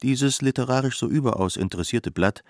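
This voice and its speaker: A man narrating a literary radio play, articulate and measured through long flowing sentences. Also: medium pitch, no room sound, clean studio sound.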